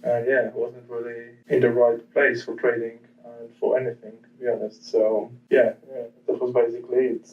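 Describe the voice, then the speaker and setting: Male guest from Portugal, flat hesitant delivery, muffled distant mic. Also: mid-range voice, moderate pace, hardly any pauses, slight background noise. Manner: hesitant, many ums and restarts. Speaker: guest trader. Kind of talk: casual interview answers.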